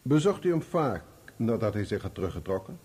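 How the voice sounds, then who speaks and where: A formal male examiner in a radio courtroom drama. His voice is husky and dark, with lively swings in pitch as he puts deliberate, probing questions. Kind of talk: Dutch radio play acting.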